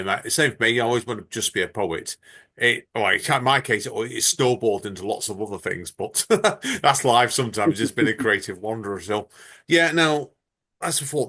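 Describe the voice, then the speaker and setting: A man's voice: medium pitch, gravelly, pushed and bright. A man hosting a podcast chat, talking in a chatty, rambling, enthusiastic way. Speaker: podcast host. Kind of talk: casual chat.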